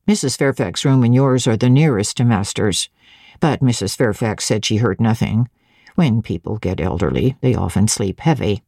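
Audiobook servant woman, voiced by a female reader: very low, mellow, stolid and composed, with guarded, pointed phrasing. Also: animated, vocal fry, no room sound, clean studio sound, full character voice. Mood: wary, pointed. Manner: phlegmatic, measured, insinuating.

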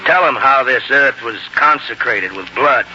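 Sharp, forceful frontier militiaman. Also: medium pitch, lively intonation, a little slow. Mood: solemn, stirring. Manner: plain, rustic, emphatic talk. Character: tough, folksy veteran frontier militiaman. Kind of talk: 1940s radio drama acting. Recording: dull, slightly noisy old radio recording.

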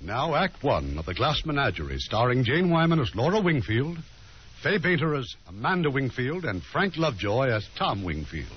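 A man's voice: medium pitch, very animated, moderate pace, breathy edge. A man giving a formal, scripted introduction as host of a 1950s radio theater broadcast. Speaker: producer and host. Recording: muffled old radio broadcast, faint music.

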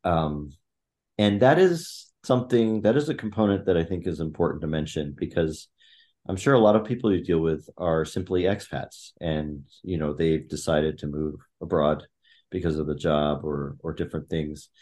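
Deep-voiced man musing with many restarts. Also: a little mellow. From Thailand. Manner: reflective, halting with many restarts and fillers. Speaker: podcast guest. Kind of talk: conversational interview.

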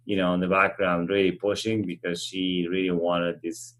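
A middle-aged man with an Argentine accent, answering interview questions in an earnest, halting, conversational way. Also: low-pitched, level tone. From central Argentina. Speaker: animal shelter representative being interviewed.

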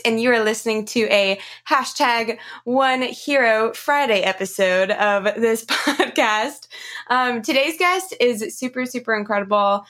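A woman's voice: on the high side, a bit bright. A woman hosting a podcast interview in a casual, effusive way, repeating words for emphasis. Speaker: podcast host.

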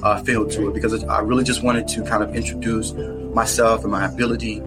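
American male author guest, lower voice, thoughtful and halting, over background music. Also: little pitch movement. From United States. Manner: earnest, reflective, halting. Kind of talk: podcast interview. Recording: music playing underneath.

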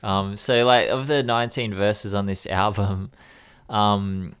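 A man talking through an album on a podcast, earnest and analytical. His voice sits fairly low. He sounds enthusiastic, with a few fillers along the way. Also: steady tone, takes it slowly, fairly bright tone, clean tone. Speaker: podcast co-host. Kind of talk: album review discussion.

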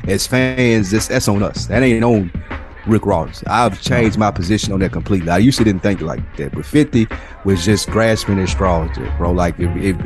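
American man, lowish voice, blunt slangy podcast chat, emphatic delivery, music clearly behind him. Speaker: podcast co-host. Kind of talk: casual hip-hop talk podcast. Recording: music clearly playing underneath.

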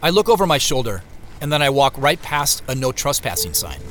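An American wanderer, lively pitch, over music. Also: male voice. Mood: reflective, observant. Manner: measured storytelling with muttered casual asides. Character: male solitary traveler, reflective, wryly talking to himself. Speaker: narrator and lead character. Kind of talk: first-person audio drama narration. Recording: music behind the voice.

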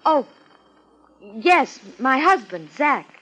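An actress playing an intense, defensive young beauty contestant in a 1950s radio drama, with a theatrical, coy delivery. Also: female voice, high-pitched, sing-song, drawn-out words, fairly bright tone, husky, exaggerated voice. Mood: evasive.

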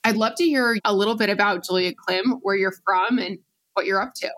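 A woman's voice: medium pitch, fairly quick, fairly bright tone. A woman co-hosting a podcast, welcoming her guest in an effusive, enthusiastic way. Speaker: podcast co-host. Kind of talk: podcast interview.

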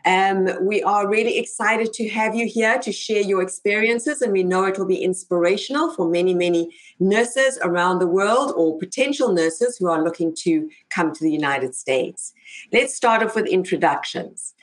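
A woman's voice: mid-range voice, normal speed. A woman hosting an interview show, introducing topics and putting questions to guests. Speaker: host.

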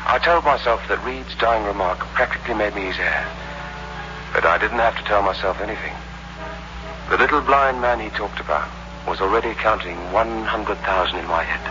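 Casting: male actor as scheming drifter narrating radio suspense, low register, terse and wry, muffled vintage sound. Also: moderate pace, noisy background, natural voice. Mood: grim, calculating. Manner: terse, wry, hard-boiled. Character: scheming drifter in debt, cool, hard-boiled narrator. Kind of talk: 1960s radio suspense acting, first-person narration. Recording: muffled old radio recording with loud music.

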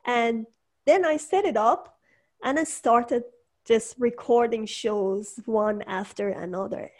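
A woman speaking English as her second language, chatting casually about starting her show, animated and laughing often. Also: a little high, takes it slowly. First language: not English. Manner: animated, laughs often, acts out quoted voices. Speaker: podcast host and entrepreneur. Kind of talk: casual conversation.

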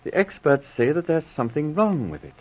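A man's voice: fairly high pitch, sing-song, a little fast, slightly rough. A man playing a polite doctor who explains police evidence carefully and hesitantly, in 1940s radio drama style. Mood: hesitant, explanatory. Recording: muffled old radio recording.

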